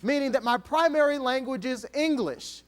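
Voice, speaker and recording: Male keynote speaker from Texas, high and lively delivery, playful with the crowd, dramatic pauses. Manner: animated, dramatic pauses, slow emphatic dictation; playful, jokes with the audience. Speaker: motivational speaker. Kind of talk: keynote speech to an audience.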